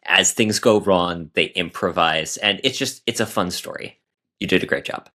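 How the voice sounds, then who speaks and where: A man's voice: sits low, moderate pace, pushed and bright. A man co-hosting a casual podcast discussion, articulate and appreciative in how he talks.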